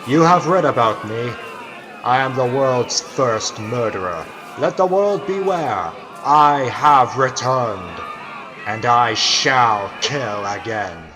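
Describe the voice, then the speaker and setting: A man's voice: mid-range voice, lively intonation, takes it slowly, crowd noise. Radio drama caveman villain: commanding, stilted broken English, swinging delivery, long reverb over noise. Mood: menacing triumph. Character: menacing revived caveman, Cain the first murderer. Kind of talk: old-time radio drama acting. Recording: long echoing reverb, noisy background.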